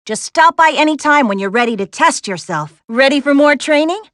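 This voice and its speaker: Spirited, high-voiced young American adventurer. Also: female voice, expressive, normal speed, fairly bright tone, no echo, clean studio sound. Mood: encouraging. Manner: wry, sassy, instructive. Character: spirited, capable young woman adventurer, wry and encouraging. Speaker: video game character voice actress. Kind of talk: animated video game voice lines.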